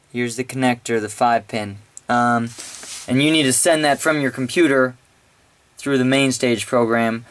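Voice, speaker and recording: A man presenting an informal music gear tutorial, explaining in an offhand way as he thinks aloud. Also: medium pitch.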